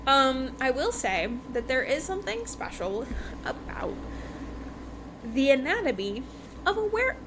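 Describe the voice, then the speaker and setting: A woman's voice: high voice, big pitch swings, unhurried. A woman host recapping a book in a casual, rambling, informal chat. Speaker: podcast host reviewing romance books. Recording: noticeable background noise.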